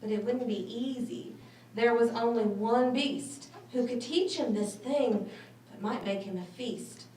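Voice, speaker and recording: A woman with a fairly high voice reads a children's story aloud. Her phrasing is expressive and dramatic. The room echoes and she sits a little back from the mic, with faint noise. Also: measured pace, far from the mic. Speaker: storybook reader.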